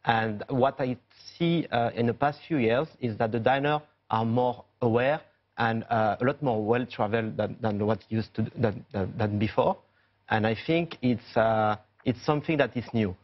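A man, a chef and restaurant owner, answering questions in a TV news studio, pausing often with fillers. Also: medium pitch, muffled recording. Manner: hesitant, many fillers and restarts. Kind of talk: studio news interview guest.

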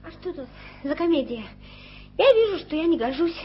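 A woman's voice: extremely high pitch, very animated, slight background noise. An actress playing a feisty, proud young working girl in a 1980s radio play, touchy and stubborn. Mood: indignant. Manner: touchy, stubborn, quick to take offence. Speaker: title character in a radio play. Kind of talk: 1980s radio drama acting. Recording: lo-fi archival radio recording with music.

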